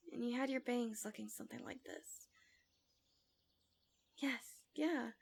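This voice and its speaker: Woman acting as fantasy villain captor, female, slightly high voice, soft, breathy, half-whispered, coaxing, doting, close-miked ASMR roleplay. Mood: coaxing, softly probing. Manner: soothing, doting, teasing.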